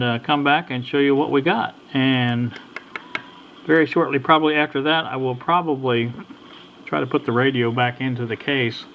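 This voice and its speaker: A middle-aged or older man casually talking through a hands-on repair at a workbench, in a halting, folksy, unhurried way. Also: fairly high pitch. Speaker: hobbyist radio repair vlogger. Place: indoor workbench. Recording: dull lo-fi sound with background noise.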